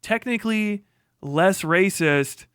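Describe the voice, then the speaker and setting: A man with a notably high, bright voice, recorded dry and close. He streams sarcastic political commentary, swearing heavily and mocking in a combative way. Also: lively intonation, slow, smooth, no echo. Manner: heavy swearing. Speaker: live streamer reacting to a video. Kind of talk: profane political rant commentary.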